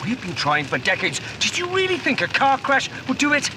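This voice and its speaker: An agitated man played by a male actor in a horror audio drama, protective and blunt. His high voice leaps up and down in exasperation, with very loud scene noise behind him. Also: huge pitch swings, brisk, exaggerated voice. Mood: exasperated. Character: exasperated, protective, sweary man fleeing monsters. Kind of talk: full-cast horror audio drama acting. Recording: very noisy outdoor scene effects.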